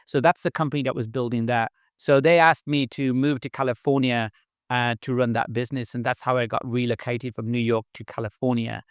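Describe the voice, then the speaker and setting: A middle-aged man with a Birmingham accent talks through his story in a relaxed, chatty way, often tagging his sentences with a questioning aside. His voice is smooth and clear, and it is recorded close and dry. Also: medium pitch, fairly quick, dry recording. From England. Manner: chatty, frequent "right?" tags and light laughs. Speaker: startup founder, guest. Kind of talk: casual podcast interview.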